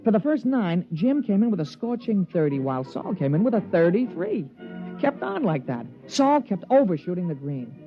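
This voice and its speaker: An actor playing an excitable, loyal young American golf caddy who tells the story in a folksy, colloquial 1950s radio drama style. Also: male voice, high-pitched, normal speed. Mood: colloquial, keen. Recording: muffled old radio recording, music underneath.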